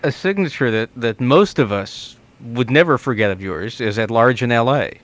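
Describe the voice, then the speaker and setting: A man's voice: medium pitch, animated, moderate pace. Man hosting a radio interview.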